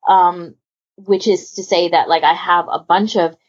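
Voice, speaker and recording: A woman with a lowish, husky voice hosts a podcast conversation. She rambles along informally and with enthusiasm. The audio is somewhat dull and lo-fi. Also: fairly even intonation, unhurried. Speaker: podcast host. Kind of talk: casual chat about hobby organisation.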